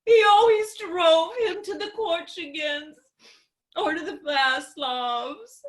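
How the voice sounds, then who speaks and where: Actress as young widow, stage comedy: very high voice, unhurried, melodramatic, imperious in her grief. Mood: weeping nostalgia. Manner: theatrical, drawn-out lamenting.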